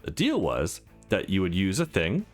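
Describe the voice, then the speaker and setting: A man's voice: low-pitched, huge pitch swings, moderate pace, soft background music. A man voicing a flirtatious, conflicted married woman in a visual novel, frank, teasing and confessional. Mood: nervous, yearning.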